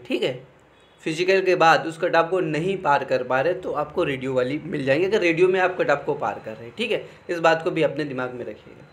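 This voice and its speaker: A young man, explaining things informally to students in a conversational way, often checking in as he goes. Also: on the high side. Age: young adult. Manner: conversational, repeatedly checks in with "okay". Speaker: exam guidance YouTuber.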